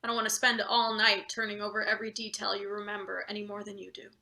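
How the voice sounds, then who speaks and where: A woman's voice: mid-range voice, little pitch movement, fast talker, gravelly, pushed and bright. A woman playing a coldly manipulative, impatient boss in a satirical play reading, with a pointed, insinuating delivery. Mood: brisk, satisfied. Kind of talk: table read of a satirical stage play.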